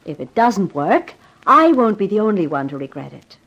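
An actress playing a cool, brisk young company boss in a 1950s radio drama, formal and businesslike. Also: female voice, medium pitch, very animated, fairly bright tone. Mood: firm, decisive. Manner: businesslike, commanding, crisply formal.

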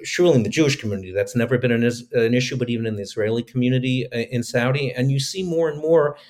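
A man's voice: medium pitch, racing, a little mellow. A man speaking as an interview guest, earnest and discursive as he explains his views on business and culture. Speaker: venture capitalist guest.

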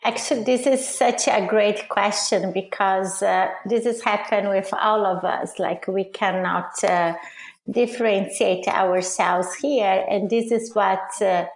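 A middle-aged woman from Brazil with a Brazilian accent, answering interview questions haltingly as she searches for words. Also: mid-range voice, steady tone. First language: Portuguese. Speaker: podcast guest, leadership coach. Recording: roomy, echoing sound.

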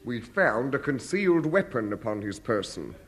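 An actor playing an officious, deadpan police officer in a vintage radio comedy. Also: male voice, mid-range voice, moderate pace, close to an everyday voice. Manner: deadpan, formal. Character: stolid, officious, self-assured police officer. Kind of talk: 1950s British radio comedy acting.

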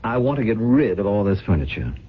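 An actor playing a cynical, scheming gold-digger husband in a radio drama, theatrical, smug and mock-tender. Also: male voice, fairly low pitch, very animated, a little fast, slightly rough. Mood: self-important. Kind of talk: radio drama acting and first-person narration. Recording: dull lo-fi 1970s radio broadcast.

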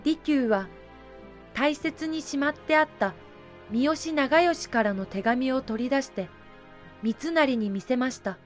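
Female narrator, fairly high voice, formal scripted storytelling, historical drama, light music underneath. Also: measured pace.

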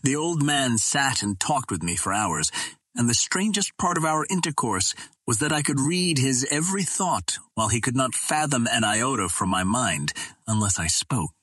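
A man reading a classic adventure novel aloud as scripted narration, in a measured, formal storytelling manner. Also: mid-range voice, lively intonation, a bit bright, breathy edge, dry recording. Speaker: audiobook reader.

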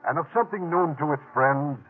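A man hosting a 1950s radio drama, narrating from a script with a dramatic, deliberate storytelling delivery. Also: a little high. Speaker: host and producer. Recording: tinny vintage radio with music.

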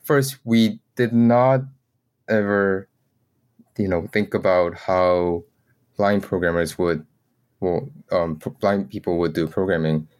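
A man's voice: sits low, slow, a little mellow. A man from South Korea speaking as an interview guest, conversational, with hesitant, tentative phrasing.

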